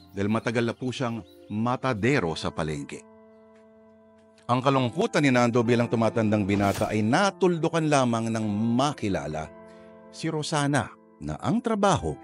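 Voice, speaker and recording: A man with a Filipino accent hosting a radio drama with theatrical scripted narration. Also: medium pitch. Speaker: host and narrator.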